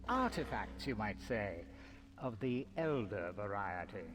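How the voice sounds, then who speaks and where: A man voicing a sly, mocking, gloating sorcerer villain in a video game, with teasing, showy, drawn-out phrasing. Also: on the low side, big pitch swings. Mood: smug. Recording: game audio with loud background ambience.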